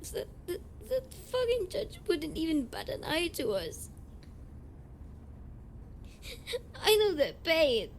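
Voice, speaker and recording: Casting: young tsundere delinquent heiress played by a woman, emotional and halting, intimate audio drama monologue, audible noise behind. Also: very high voice, huge pitch swings, heavily acted. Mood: crying, angry. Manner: emotional, halting, swears freely.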